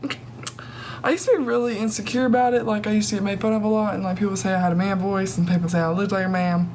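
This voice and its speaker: Chatty young woman with a deep voice. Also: steady tone, fast, noisy background. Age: young adult. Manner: chatty and joking, heavy use of "like" and "literally". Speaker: beauty vlogger. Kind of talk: casual makeup tutorial chat.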